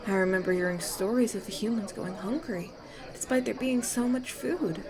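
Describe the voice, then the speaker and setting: A woman's voice: mid-range voice, moderate pace, close to an everyday voice. A woman playing an idealistic, naive elf in a fantasy audio roleplay, earnest and questioning. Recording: banquet crowd chatter behind.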